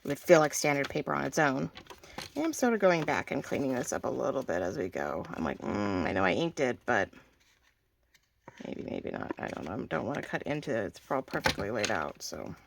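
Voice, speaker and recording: Slightly hoarse woman chatting through a craft. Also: a bass voice, very animated, fairly quick, slight background noise. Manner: chatty, thinks aloud while working, many fillers and throat clearing. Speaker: craft video host. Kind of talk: casual crafting tutorial.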